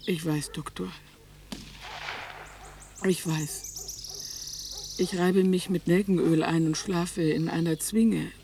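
A woman's voice: on the low side, fairly even intonation, slow, long pauses, warm tone. An actress playing a fearful old woman in a radio drama, talking in an anxious, plaintive way. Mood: troubled. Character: troubled, fearful old woman confiding in her doctor.

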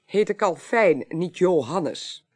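An actress playing a sharp-minded, pedantic court clerk in a radio play, precise, analytical and assured. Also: female voice, on the low side, animated, slow, breathy edge, heavily acted. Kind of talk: 1980s Dutch radio play acting.

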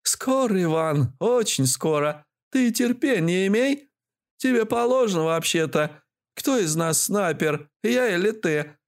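Man putting on a high-voiced bossy old granny. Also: animated, measured pace. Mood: scolding. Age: older. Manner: folksy, mocking, scolding. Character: sly bossy old granny from hell, grumpy and secretive.

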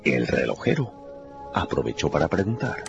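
A man's voice: extremely low pitch, big pitch swings, normal speed, vocal fry. A man narrating a radio novel in a dramatic, scripted storytelling style. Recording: muffled radio production with music underneath.

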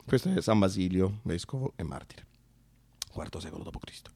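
A man's voice: sits low, expressive, brisk, vocal fry, warm tone, husky. A man narrating a theatrical monologue in a colloquial, dramatic way with emphatic asides. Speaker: narrator and solo storyteller. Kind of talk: theatrical monologue, conversational storytelling.

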